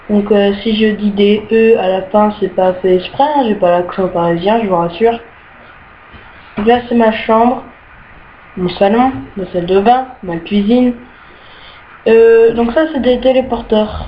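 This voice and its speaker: A young woman casually showing her rooms in an online game, with many hesitations and easily annoyed by glitches. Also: mid-range voice. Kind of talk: casual screen-recorded game tour. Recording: dull lo-fi home mic with faint hiss.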